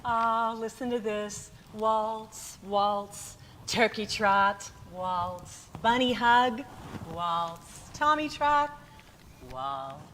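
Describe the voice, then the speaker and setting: A woman's voice: medium pitch, animated, drawn-out words, a bit bright. A woman playing a sensible, long-suffering wife in old-time radio comedy style, wry and folksy. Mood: nostalgic, teasing. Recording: faintly noisy live stage recording.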